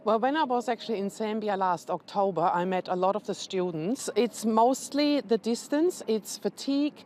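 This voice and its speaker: A woman representing a charity, a guest in an interview, explaining the work in a steady, factual manner. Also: mid-range voice, normal speed, slight background noise. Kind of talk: informative interview answers.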